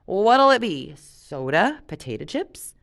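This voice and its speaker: A woman's voice: mid-range voice, sing-song, slow, creaky, exaggerated voice. A woman voicing a chatty, flippant young girl in an audiobook-style reading, confident and bouncy. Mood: carefree. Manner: bouncy, emphatic, rattles from topic to topic.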